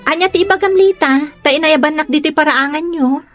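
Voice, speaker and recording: A woman's voice: high-pitched, brisk, a bit bright, soft background music. A woman acting a sweet young woman in secret love in an Ilocano comedy drama. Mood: friendly, candid.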